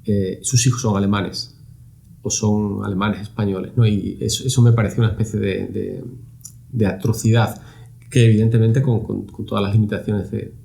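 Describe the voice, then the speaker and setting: A middle-aged man from Spain answers interview questions at a thoughtful pace. His voice is low and gravelly, with a mellow, dark tone. He often circles back and repeats words while he works out his phrasing. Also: little pitch movement, normal speed. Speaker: university lab director, guest.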